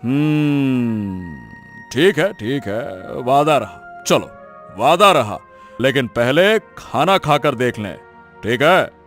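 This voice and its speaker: A man plays a village bigwig in a cartoon tale, blustery yet fair. His mid-pitched voice swings widely and he repeats himself for emphasis, with music underneath. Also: lively intonation, slow, fairly bright tone. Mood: challenging. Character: blustery, food-loving village big man, fair-minded. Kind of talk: scripted cartoon story acting.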